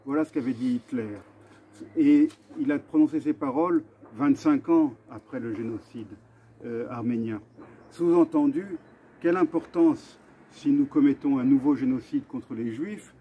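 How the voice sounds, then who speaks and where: A man hosting an earnest commemorative address to camera, speaking deliberately with halting pauses and repetitions. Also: medium pitch, husky. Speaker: video host and association vice-president. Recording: dull, muffled lo-fi sound.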